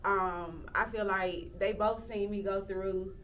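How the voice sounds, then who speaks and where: A woman, speaking reflectively in an interview, often pausing with "um" and restarting sentences. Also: mid-range voice, steady tone, a bit bright, recorded from a distance, a little hiss or hum. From Athens, Georgia, US. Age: 30s. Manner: reflective, with frequent "um" fillers and restarts. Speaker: interviewee, local county commissioner. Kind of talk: casual interview talk.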